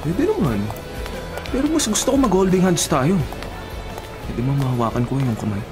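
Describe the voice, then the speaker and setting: A Filipino man acting a sweet, earnest young boyfriend in a radio drama, tender, pleading and expressive. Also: fairly high pitch, normal speed. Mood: playful, coaxing. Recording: music playing behind the voice.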